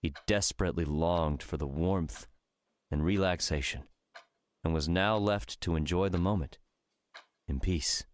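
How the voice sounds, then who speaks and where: Deep-voiced male audiobook narrator. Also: a little slow. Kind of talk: scripted fiction narration.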